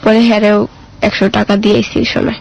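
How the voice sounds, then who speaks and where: A woman's voice: mid-range voice, flat delivery, a little fast, vocal fry, a little mellow, muffled recording. A woman with a colloquial Bangladeshi Bengali accent tells her life story as a guest, plain-spoken and casual, acting out remembered dialogue as she goes. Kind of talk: personal storytelling in casual speech.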